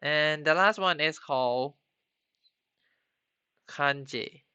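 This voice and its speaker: A man teaching a beginner Japanese class on a live stream, halting often with ums and restarts. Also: on the high side, sharp tone, clean tone, no echo. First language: not Japanese. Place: home computer setup. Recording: slightly dull lo-fi stream audio.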